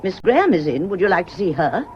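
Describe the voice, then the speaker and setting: A prim, sharp-tongued housemaid in a period radio play, devoted to her mistress. Her pitch moves expressively as she probes beneath a deferential manner. The recording is dull, with clear hiss behind her. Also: very animated, fast. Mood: brisk, guarded. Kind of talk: period radio drama acting.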